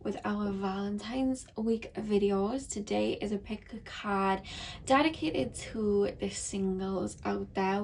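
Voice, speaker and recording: A woman with a croaky, slightly shaky voice giving a chatty, rambling tarot reading to her viewers. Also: medium pitch, darkish tone, distant mic. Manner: chatty, rambling with many ums and likes. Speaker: tarot reader. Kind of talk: pick-a-card tarot reading. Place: seated behind a camera at home.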